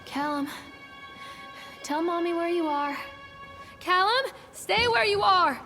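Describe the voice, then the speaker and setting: A woman's voice: very high-pitched, animated, slow, pushed and bright, faint music behind, full character voice. A woman acting an anxious mother searching for her missing young son in a video game. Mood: worried, calling out. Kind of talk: video game acting, calling out and inner monologue.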